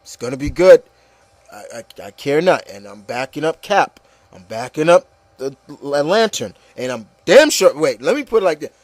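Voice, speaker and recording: A man's voice: fairly high pitch, very animated, normal speed, no room sound. A man hosting a casual, opinionated discussion, speaking emphatically and repeating phrases to drive points home. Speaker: podcast host.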